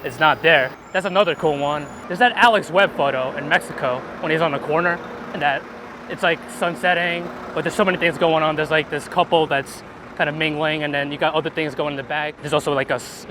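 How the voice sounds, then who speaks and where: A man with a slightly high voice gives casual, slangy interview answers outdoors. Clear city traffic noise runs behind his informal talk. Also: steady tone, fairly quick. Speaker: interview guest, street photographer. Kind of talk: casual street interview chat. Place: outdoors on a busy city street.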